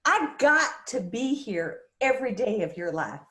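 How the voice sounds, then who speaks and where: A woman's voice: a little high, sing-song, unhurried, bright, forceful tone, heavily acted. A woman playing a fearless, protective middle-aged single mother from a small town in a screenplay read, in a roomy recording. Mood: firm, tender. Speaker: actor in a screenplay table read. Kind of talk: dramatic screenplay table read. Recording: roomy, echoey sound.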